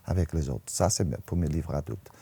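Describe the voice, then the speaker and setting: A man's voice: extremely low pitch, moderate pace, vocal fry, warm tone, husky, dry recording. A man in his sixties with a Portuguese accent, a native Portuguese speaker, speaking conversationally as an interviewed writer and often repeating words as he searches for a phrase. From northern Portugal. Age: 60s. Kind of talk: conversational interview, personal anecdotes.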